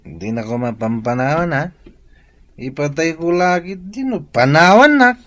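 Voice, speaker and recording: A man's voice: a little high, animated, moderate pace, fairly bright tone. A man with an Ilocano accent playing a boozy, carefree, wheedling young husband in a comedy drama, in an exaggerated drunken drawl. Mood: drunk, sentimental.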